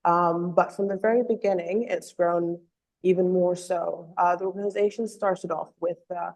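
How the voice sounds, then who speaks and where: A woman giving an earnest online presentation as a communications officer, slightly hoarse from a cold, with frequent ums and uhs. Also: on the low side, steady tone, moderate pace, a little mellow, poor audio. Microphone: video call.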